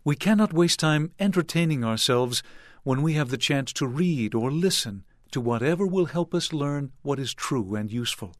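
An older man and church leader delivering a sermon to young people in a measured, earnest way with deliberate phrasing. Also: on the high side, expressive, no echo, clean studio sound.

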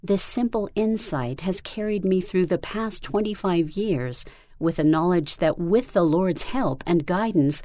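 A woman reading a first-person story aloud in a calm, earnest manner, recorded close to the microphone. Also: on the low side, measured pace, no room sound. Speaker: reader.

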